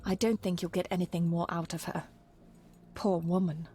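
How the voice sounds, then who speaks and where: A woman's voice: fairly low pitch, steady tone, moderate pace, dark tone, slight background noise, close to an everyday voice. An actress playing a practical, kindly young woman in a video game, coaxing and plainspoken. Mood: gentle. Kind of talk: dramatic video game acting.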